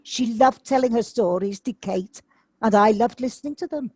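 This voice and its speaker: Slightly husky, kindly village woman played by an actress. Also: fairly high pitch, moderate pace, a little mellow, no room sound. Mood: fond. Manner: fond, reminiscing. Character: kindly village woman fondly remembering an old friend. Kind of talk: audio play acting.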